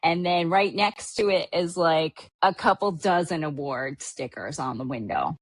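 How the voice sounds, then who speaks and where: A woman's voice: low voice, unhurried, slightly rough. A woman from Hawaii hosting a podcast, chatting casually about a trip and rambling with lots of fillers. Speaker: podcast host. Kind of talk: casual travel recap chat.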